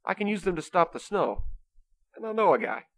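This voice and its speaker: A man's voice: high-pitched, very animated, fairly quick, a bit bright, no room sound, full character voice. A man playing a kindly, patient Saint Nicholas who reasons earnestly, in an amateur comedic radio play. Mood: reassuring, earnest.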